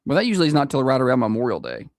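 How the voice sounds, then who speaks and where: A man with a high-pitched Southern accent, hosting a morning radio show in casual, self-deprecating chat. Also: wildly swooping pitch, very fast, no room sound, clean studio sound. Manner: chatty, self-deprecating, laughs easily. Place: radio studio.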